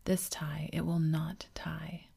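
Fussy blustering storybook father, hushed whisper, low and flat, read by a woman. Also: slow, vocal fry, husky, heavily acted.

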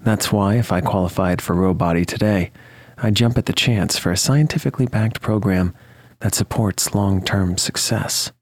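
Low, slightly husky man reading an ad. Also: normal speed, dry recording. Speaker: advertisement reader. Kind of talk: scripted podcast ad read.

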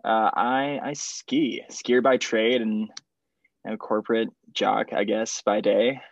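A man's voice: fairly low pitch, slow, creaky, no echo, lo-fi sound. A young man from Switzerland whose first language is French, talking casually and rambling as a podcast guest, with lots of fillers. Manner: relaxed, rambling with many fillers. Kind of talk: casual conversation.

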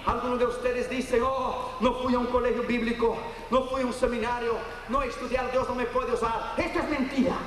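Fervent preacher, echoing hall. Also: male voice, level tone, brisk, few pauses, slight background noise. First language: Portuguese. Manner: fervent preaching, swinging between loud high peaks and low quiet storytelling. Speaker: evangelist preacher. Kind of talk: sermon. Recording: echoey hall heard from far off.

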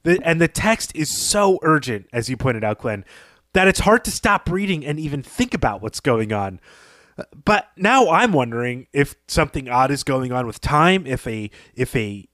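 A man's voice: mid-range voice, sing-song, moderate pace, a bit bright, dry recording. A man co-hosting a podcast, analysing literature in a thoughtful, informal conversational style. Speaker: podcast co-host.

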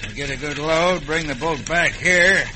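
Male actor as scheming Old West gang boss, American, fairly high voice, folksy orders, noisy radio recording. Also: normal speed, natural voice. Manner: folksy, commanding. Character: scheming, bossy Old West outlaw ringleader. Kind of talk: classic radio western acting.

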